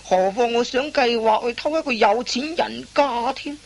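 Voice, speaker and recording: A woman's voice: fairly high pitch, moderate pace, vocal fry. A woman playing a sly, boastful old veteran thief in a radio comedy, with a theatrical, sighing drawl. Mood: lecturing, scheming. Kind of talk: radio comedy drama acting.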